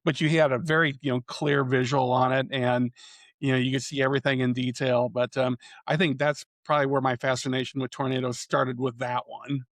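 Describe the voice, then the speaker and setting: A man's voice: medium pitch, flat delivery, brisk, a bit bright, no room sound. A man in his fifties from central Iowa, a storm chaser and photographer, talking casually as an interview guest, rambling with plenty of "you know" fillers and restarts. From central Iowa, USA. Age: 50s.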